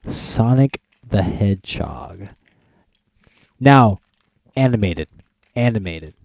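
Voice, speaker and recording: Male podcast host, low and relaxed, closely recorded and dry, casual countdown style with halting, repetitive phrasing. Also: dry recording.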